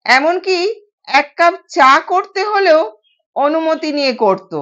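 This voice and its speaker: Female storyteller, very high voice, measured expressive delivery for scripted drama, slightly dull lo-fi recording. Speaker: audio story narrator. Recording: dull, lo-fi sound.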